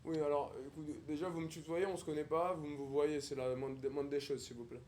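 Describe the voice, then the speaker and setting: A man's voice: fairly high pitch, steady tone, brisk, darkish tone, voice pushed down, lightly acted. A young man livestreaming, putting on a haughty, snobbish young posh man who mocks strangers in affected formal speech. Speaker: livestreamer on a video chat app. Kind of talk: improvised character roleplay with strangers.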